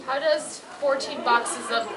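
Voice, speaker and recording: A teenage anime exorcist boy played by a woman, polite but prickly, with a fairly high voice. The improvised panel banter is picked up from far off in a crowded, echoing room. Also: fairly even intonation, slow pace, a crowd in the background. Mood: earnest. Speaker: cosplay panelist. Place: convention panel room with audience. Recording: echoey noisy convention room, far from mic.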